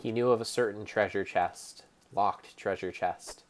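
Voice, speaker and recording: A young man giving a theatrical, mock-serious dramatic narration over gameplay, speaking deliberately like a storyteller. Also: on the low side, fairly even intonation. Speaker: game streamer.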